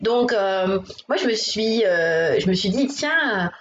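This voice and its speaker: A woman's voice: medium pitch, expressive, slow, slight background noise. A woman hosting a casual interview, chatty and friendly, with frequent fillers. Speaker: podcast host. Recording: roomy, dull lo-fi sound.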